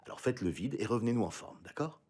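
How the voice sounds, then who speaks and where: An actor playing a caring but firm head of a medical department, husky and measured. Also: male voice, mid-range voice, animated, background noise, close to an everyday voice. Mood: firm, concerned.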